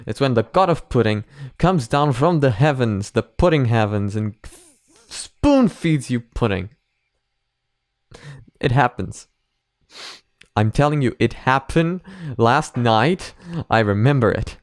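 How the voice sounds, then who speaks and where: A man's voice: medium pitch, expressive, slow pace, pauses often, dry recording. A man hosting a reaction video, talking in a casual, rambling, conversational way.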